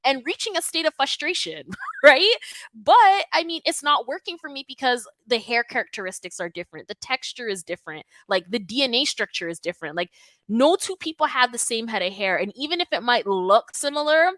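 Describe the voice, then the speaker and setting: Emphatic woman with a bright voice. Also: a little high, brisk, no room sound. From Toronto, Canada. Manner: emphatic, animated, conversational with many fillers. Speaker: natural hair YouTube host. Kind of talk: live stream Q&A with viewers.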